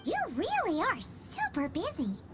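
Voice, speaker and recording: Tiny fairy companion: extremely high, squeaky, animated game voice over music. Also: female voice, a little slow, full character voice. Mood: perky, curious. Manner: squeaky, perky, frank. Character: small, childlike, chatty fairy sidekick. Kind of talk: video game voice acting.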